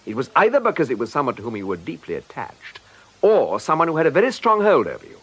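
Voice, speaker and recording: An actor playing a shrewd, urbane police inspector with a wry, authoritative manner in a radio drama. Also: male voice, mid-range voice, huge pitch swings, fast talker, fairly bright tone, slightly rough, exaggerated voice. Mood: stern, pressing. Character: shrewd, urbane, commanding British police inspector, drily ironic.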